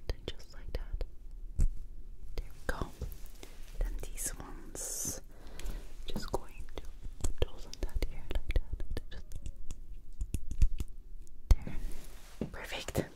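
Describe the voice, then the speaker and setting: A young woman speaking in a breathy, hushed whisper in short instructional phrases, as in an ASMR roleplay, close to the microphone. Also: slow pace, pauses often, poor audio. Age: young adult. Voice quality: breathy, mostly unvoiced whisper. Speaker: ASMR creator playing a stylist in a makeover roleplay.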